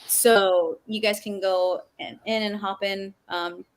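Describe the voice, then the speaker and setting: A woman's voice: mid-range voice, fairly even intonation, slow. A woman talking casually on a livestream video call, with loose, slangy, informal phrasing. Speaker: actor, interview guest.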